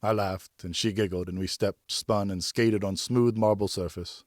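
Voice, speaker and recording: A man's voice: fairly low pitch, steady tone, normal speed, a little mellow, no echo, clean studio sound. A man narrating a novel in the first person as a professional audiobook reader.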